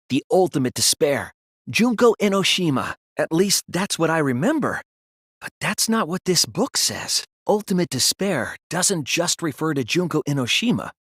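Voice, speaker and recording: Teenage boy detective, slightly high, husky, earnest, deliberate, dramatic video game deduction. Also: fairly bright tone, dry recording, clean studio sound, heavily acted. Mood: grave, reasoning. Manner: deliberate, reasoning aloud, emphatic when refuting. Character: earnest, thoughtful teenage male detective, soft-spoken but resolute.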